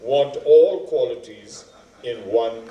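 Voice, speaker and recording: An actor playing a learned, wry storyteller who recounts epic tales deliberately and emphatically, repeating phrases for effect, in theatrical style. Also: male voice, mid-range voice, lo-fi sound, noisy background, lightly acted. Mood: wry, moralizing. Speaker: stage actor in a two-hander play. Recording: echoey stage, distant microphone.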